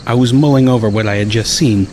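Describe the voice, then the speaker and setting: A man's voice: medium pitch, quick pace, darkish tone, a little hiss or hum. A man reading a first-person story as an audiobook narrator.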